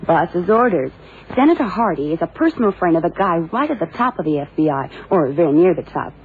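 An actress playing a poised, efficient young secretary to a detective in a vintage radio drama. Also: female voice, lowish voice, sing-song, a little fast, a little hiss or hum. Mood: firm, coaxing. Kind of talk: 1970s radio drama acting. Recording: phone-like vintage radio recording, music behind.

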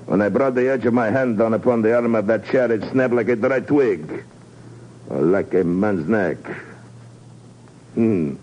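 An actor playing a suave, heavy-set spy in a 1950s radio drama, pompously courteous and menacing. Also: male voice, on the low side, measured pace, pauses often, darkish tone. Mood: quiet menace. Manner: silky, pompous, chuckling politeness. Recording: muffled, noisy 1950s radio recording.

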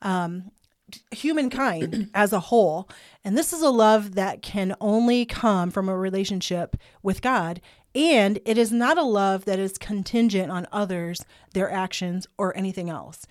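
A woman's voice: medium pitch, a little slow, dry recording, studio quality. Chatty older woman podcast host. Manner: chatty, laughs and jokes, talks through a cough drop. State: has been sick, clears throat.

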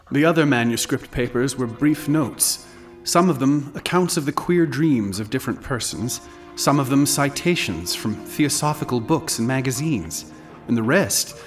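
A man playing a solemn narrator of a horror account, reading in a formal, literary, measured manner for a radio drama. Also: mid-range voice, lightly acted. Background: music.

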